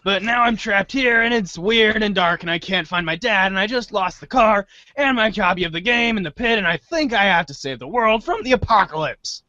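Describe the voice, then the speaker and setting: A man acting an earnest, excitable, rambling teenage boy hero in a storybook-style reader's theater. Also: high-pitched, moderate pace, hardly any pauses, no echo, dull audio. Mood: flustered, earnest.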